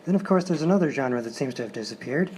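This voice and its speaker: A man's voice: mid-range voice, expressive, fast. A man hosting a live sketch comedy show with scripted banter introducing the sketches. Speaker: show host. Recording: noisy live stage recording.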